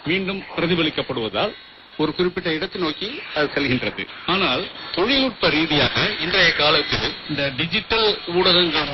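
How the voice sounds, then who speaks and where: An older man with an Indian Tamil accent speaks in a fairly high voice. He answers formally and in measured, literary phrases, heard through a dull, very noisy shortwave radio broadcast. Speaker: retired radio official, interviewee.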